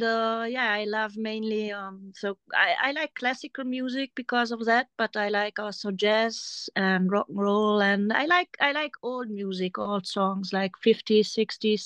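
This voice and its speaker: A woman's voice: medium pitch, steady tone, measured pace, fairly bright tone, clean tone, no room sound. A woman in her forties from Germany, a German speaker talking in English as a podcast guest, hesitant with many ums and restarts. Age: 40s. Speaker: interview guest. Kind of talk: casual conversation.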